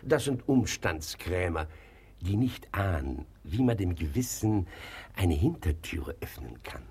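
An actor playing a smooth, sly notary, formal and insinuating, in a German radio comedy. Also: male voice, low voice, sing-song, measured pace, darkish tone, exaggerated voice. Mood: conspiratorial. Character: smooth, sly, obliging notary bending the law. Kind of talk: German radio comedy acting of a classic play.

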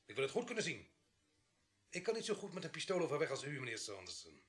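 Een acteur die in een hoorspel een argwanende, sceptische politiebrigadier speelt, kortaf en droog. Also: male voice, fairly high pitch, huge pitch swings, fast talker, fairly bright tone. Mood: stern. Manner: suspicious, curt, dry. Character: wary, sceptical police sergeant holding his ground. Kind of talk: radio play acting.

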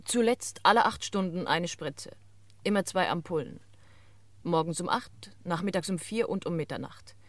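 A composed wife under police questioning in an old radio crime play, acted by a woman. Her voice is a little low and very dry, with measured, subdued, precise delivery. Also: no echo, natural voice. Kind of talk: mid-century German radio crime drama acting.

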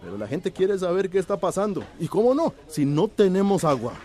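An actor playing a modest, generous village shopkeeper in a radio drama. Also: male voice, sits high, very animated, a little fast, a little mellow. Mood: approving.

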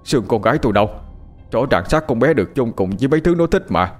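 A man's voice: mid-range voice, expressive, fast talker, faint music behind, lightly acted. A man voicing a grieving old father who cries out in anguished pleas. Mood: shocked.

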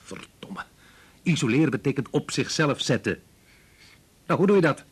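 A man's voice: medium pitch, big pitch swings, normal speed, husky. An actor playing an impatient, short-tempered scientist father in a Dutch radio play, lecturing in an exasperated way. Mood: exasperated, angry.

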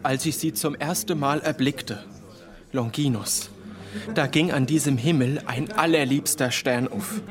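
An actor playing a vain, melodramatic young eighteenth-century poet in a radio drama, with pompous, florid, old-fashioned diction. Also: male voice, medium pitch, unhurried, crowd noise, close to an everyday voice. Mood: lovestruck rapture. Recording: loud outdoor ambience, running water behind voice.

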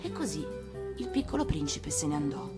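Woman storyteller: scripted fairy-tale narration, animated intonation, mid-pitched actor's voice, background music. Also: normal speed.

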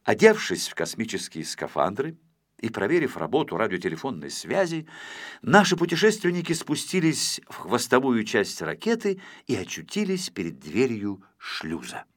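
A man narrating a children's story as a dramatized audiobook, in an expressive, dramatic way. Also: mid-range voice, normal speed. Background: music.

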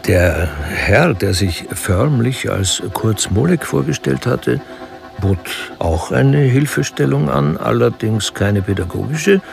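A man's voice: mid-range voice, expressive, slow pace, creaky, soft background music. A man giving scripted narration in a German radio crime drama.

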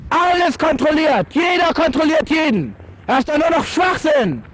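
Man, extremely high strained pitch, agitated shouting, insulting rant. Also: big pitch swings, normal speed. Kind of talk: ranting outburst.

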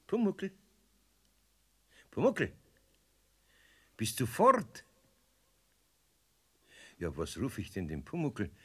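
An actor playing a good-natured older master craftsman with a Bavarian accent, folksy and gently teasing, in a children's radio play. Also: mid-range voice, huge pitch swings, slightly rough, full character voice. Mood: surprised. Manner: folksy, drawn-out, wry.

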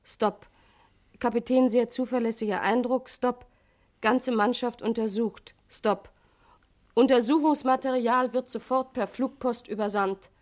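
Casting: actress as brisk-minded police secretary, fairly high voice, clipped, factual reading, 1950s radio drama. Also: female voice, fairly even intonation, darkish tone, lightly acted. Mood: businesslike. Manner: clipped, matter-of-fact. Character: efficient female police secretary reading out telegrams. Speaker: actress in a radio crime play. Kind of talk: 1950s German radio play acting.

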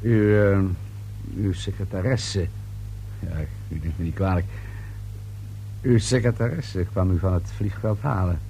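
A lowish male voice as a police inspector in a radio drama. He probes dryly and persistently, pausing on small hesitations. The old recording carries hum and slight noise. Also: vocal fry, dark tone, rough recording. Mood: probing, dry. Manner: measured questioning with hesitant fillers. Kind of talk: radio play acting.

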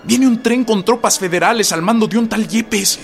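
An actor playing an excitable, deferential young rebel messenger in a radio drama. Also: male voice, high-pitched, normal speed, soft background music. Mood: alarmed.

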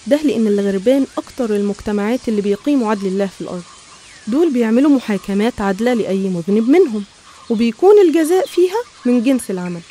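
A woman's voice: a little high, mellow, slight background noise. A woman with an Egyptian accent narrating a scripted religious story.

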